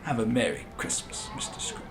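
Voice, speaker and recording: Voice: medium pitch, huge pitch swings, fairly quick, creaky. A performer playing a cheerful, placating town constable in a radio drama. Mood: emphatic, polite.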